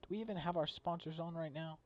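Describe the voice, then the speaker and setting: A man's voice: fairly high pitch, fast talker, darkish tone, dull audio, natural voice. A man playing a flustered small-town radio host, talking through live local news. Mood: businesslike, flustered.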